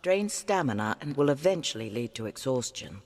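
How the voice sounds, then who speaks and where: An actor as the tutorial narrator of a game, reading scripted instructions. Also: male voice, medium pitch, very animated, moderate pace, big-room sound. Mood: instructive.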